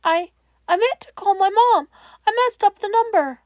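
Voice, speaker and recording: A woman voicing a despairing teenage boy who speaks softly, in trembling near whispers. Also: very high voice, animated, normal speed, dry recording, narrow, tinny audio, voice pushed up. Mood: pleading.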